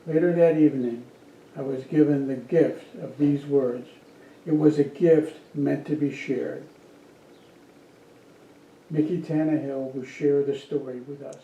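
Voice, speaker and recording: A man reading aloud formally at a church service with measured, deliberate phrasing. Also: medium pitch, fairly even intonation, warm tone. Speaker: worship service reader. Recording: dull, roomy, distant, noisy church recording.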